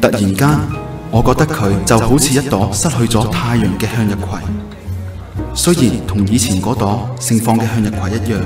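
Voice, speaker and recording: A man's voice: on the low side, moderate pace, distant mic, lightly acted. Actor as wistful young Hong Kong man, echoey. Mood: reflective. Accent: Hong Kong Cantonese. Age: young adult. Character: wistful young man recalling a childhood crush. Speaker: lead actor and narrator. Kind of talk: radio drama narration and dialogue. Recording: echoey, music bed underneath.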